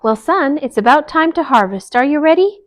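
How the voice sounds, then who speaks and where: A woman's voice: high voice, animated, a little fast, voice pushed up. A woman voicing a cheerful, hardworking mother hen who encourages others to help. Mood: encouraging. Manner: upbeat, encouraging.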